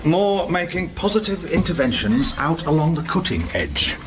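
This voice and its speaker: An actor playing a senior police inspector in a radio drama, businesslike, terse and sardonic. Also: male voice, a little high, very animated, normal speed. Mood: dry, brisk.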